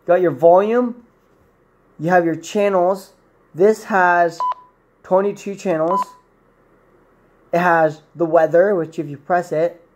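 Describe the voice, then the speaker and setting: A young man with a slightly high voice reviews a product off the cuff. He talks casually to a camera in a plain indoor room, rambling and correcting himself as he goes. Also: steady tone, slow, long pauses, slight background noise. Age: 20s.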